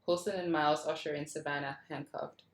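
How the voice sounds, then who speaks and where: Woman, low pitch, scripted narration, stage directions, roomy echoing sound. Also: steady tone, fairly quick. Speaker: reader of stage directions at a table read. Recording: roomy, echoing video call audio.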